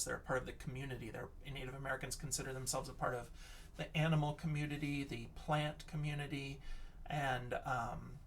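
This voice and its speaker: A man reads to a class of children as their teacher, at a slow, deliberate pace. His delivery is expressive, often sinking into a hushed, breathy whisper. He sounds set back from the microphone. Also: mid-range voice, distant mic. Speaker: teacher reading aloud to class. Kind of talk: read-aloud of a picture book and lesson chat for children.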